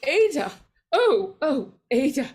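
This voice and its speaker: Female actor as besieged lady of the house, old radio horror, very high voice, slow and swooping, melodramatic. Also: very animated, darkish tone, full character voice. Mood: tearful triumph. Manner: breathless melodramatic wailing and pleading. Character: frightened female homeowner, tremulous then triumphant. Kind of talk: 1940s horror radio acting.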